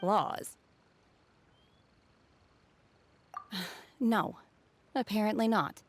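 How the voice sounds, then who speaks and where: A woman playing an aloof, stoic young ascetic in an anime game, speaking in a formal, detached, deliberate way. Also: medium pitch, background noise, natural voice. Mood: blunt, detached. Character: aloof, stoic young female mountain-raised martial ascetic.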